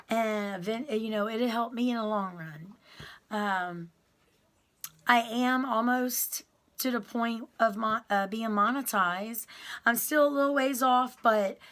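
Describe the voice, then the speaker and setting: A woman telling her prison story to viewers in a casual, rambling way, full of ums and restarts. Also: medium pitch. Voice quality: loud voice that carries.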